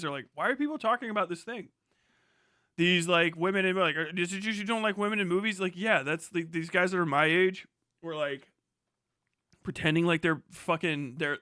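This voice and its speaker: Man, thirties, highish pitch, bright forceful voice, profane sarcastic rants, casual streamer chat. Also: no echo, clean studio sound. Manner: profane, animated, sarcastic ranting. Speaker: livestream host. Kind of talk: casual livestream chat, riffing on movies.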